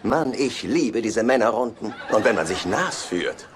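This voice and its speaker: A man's voice: lowish voice, animated, unhurried, slight background noise. A man playing a naive, henpecked husband in a dubbed sitcom, chummy and quaint in his way of talking. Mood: eager, chummy. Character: goofy, naive, henpecked husband, eager to be pals.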